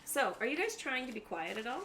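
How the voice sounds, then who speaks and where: A woman's voice: a little high, sing-song, a little fast, over a noisy crowd. A woman game master casually narrating and playing along in a tabletop game, talking with her players. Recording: faint background noise.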